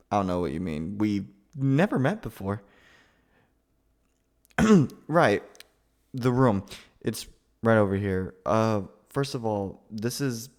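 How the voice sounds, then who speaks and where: A low-pitched male actor as a nervous young roommate in an intimate ASMR roleplay. He stammers and trails off, gentle and awkward. His rambling is geeky and self-deprecating. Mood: embarrassed, sheepish.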